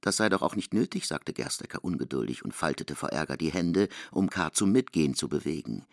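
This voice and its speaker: A male narrator tells a literary story in a deep, creaky voice. His delivery is dramatic radio-play narration with lively pitch movement and a dry sound. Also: fairly quick, no echo.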